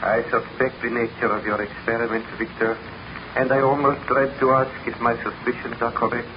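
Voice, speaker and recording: A male actor as a cautious, disapproving gentleman friend. He speaks formally and gravely at a middling pitch, pleading earnestly. The old radio drama recording is muffled, with heavy noise behind it. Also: level tone, fairly quick, lightly acted. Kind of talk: 1930s radio drama acting.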